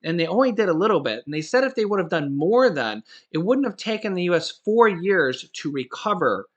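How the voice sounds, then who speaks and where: A man's voice: sits high, very animated, fairly quick, muffled recording. A man in his forties giving a casual, emphatic commentary on finance news, often stopping to ask "okay?" and correcting himself as he goes. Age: 40s. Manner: emphatic, repeats "okay?" to drive points home; frequent restarts and self-corrections. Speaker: finance YouTuber.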